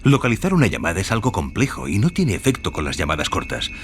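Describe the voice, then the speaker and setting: A man's voice: sits low, wildly swooping pitch, fast talker, a little hiss or hum, full character voice. A man playing a paternal lawyer in an audio drama, coaxing and soothing a troubled caller.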